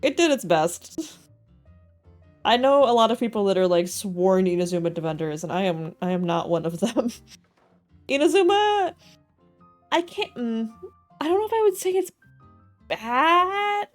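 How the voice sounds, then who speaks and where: A woman's voice: fairly high pitch, expressive, unhurried, pauses often. A woman doing casual live video game commentary, thinking aloud in a playful way. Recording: faint game music behind the voice. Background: game soundtrack.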